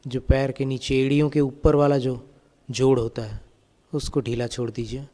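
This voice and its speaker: A man guiding a meditation as a spiritual teacher, giving calm, gentle, step-by-step instructions. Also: medium pitch, normal speed. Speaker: Jain monk and meditation teacher.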